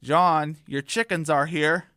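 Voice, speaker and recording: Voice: mid-range voice, steady tone, takes it slowly, a bit bright, dry recording, studio quality. A performer voicing a grumpy, greedy, sardonic male cartoon cat in a radio parody. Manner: sardonic, grumbling.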